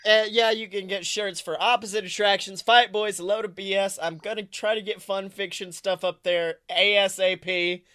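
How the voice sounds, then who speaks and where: A man's voice: high-pitched, steady tone, moderate pace, few pauses, bright, forceful tone, clear, resonant tone, dry recording. Dry, close-miked male podcast co-host. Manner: jokey, crude humor. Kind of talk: casual comedic chat.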